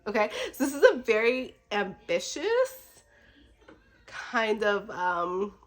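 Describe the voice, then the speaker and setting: A woman speaks as a book vlogger in a casual, chatty style, sounding slightly sick. Her delivery is effusive and rambling with a giggly streak, and her pitch sits in the middle range for a woman.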